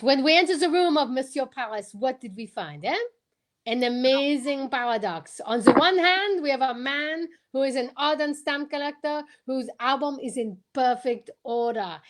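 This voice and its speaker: A woman playing a courteous, self-assured male detective, theatrical and deliberate, in a radio play. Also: high voice. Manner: theatrical, deliberate, tag-questioning. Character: courteous, self-assured male French-speaking detective explaining his case. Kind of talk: amateur old-time radio play reading.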